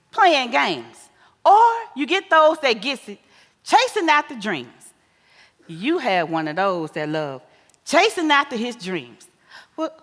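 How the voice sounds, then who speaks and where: An actress plays an older American mama in a stage play, folksy and blunt but doting. Her voice is fairly high and bright, and she draws out her phrases to make a point. It rings in a reverberant theater hall. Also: full character voice. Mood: wise, earnest. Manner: folksy, drawn-out emphatic phrasing.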